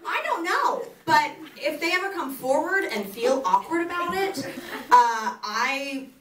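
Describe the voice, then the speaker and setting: A woman's voice: high-pitched, expressive, measured pace, fairly bright tone. A young woman with a Southern US accent answering audience questions on a theater stage into a handheld mic, chatty and self-mocking, laughing often. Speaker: author at a book signing. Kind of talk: live audience Q&A. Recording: echoey hall, heard from far off. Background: crowd noise.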